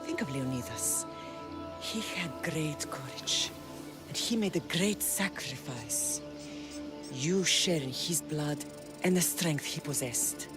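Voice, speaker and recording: Game warrior mother: measured, earnest, weighty, with a very lively pitch range. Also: over music. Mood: solemn, tender. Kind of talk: dramatic video game acting.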